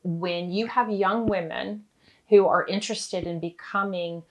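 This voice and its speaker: A woman who leads a building trade association talks to camera. Her voice sits a little low, and she speaks in a measured, purposeful way. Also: steady tone, rough recording. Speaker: association executive officer. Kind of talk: interview to camera.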